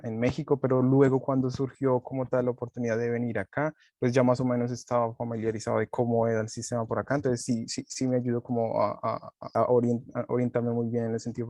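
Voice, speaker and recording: A young man from Colombia talks through his student experience on a panel. He speaks haltingly, restarting and repeating words. His voice has a gravelly edge, and the sound is close and dry. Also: mid-range voice, a little mellow, no room sound. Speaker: panel guest, master's student. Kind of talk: casual panel talk.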